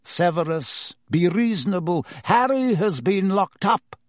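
A man voicing a calm, wise elderly male headmaster who speaks quietly and measuredly. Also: sits high, measured pace, dry recording, studio quality, voice pushed up.

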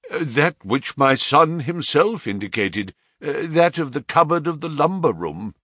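A man's voice: a little high, big pitch swings, unhurried, bright, forceful tone, slightly rough, dry recording, clean studio sound, pitched above the performer's own voice, heavily acted. A man reading aloud, playing a distressed middle-aged banker who talks in an agitated, insistent way. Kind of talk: audiobook reading.

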